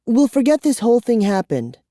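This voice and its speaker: Reader doing a bossy angry young girl, high shrill voice, very dry sound. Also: big pitch swings, brisk, dark tone, no echo, close to an everyday voice. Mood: protesting. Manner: high, insistent and protesting. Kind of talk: audiobook character reading.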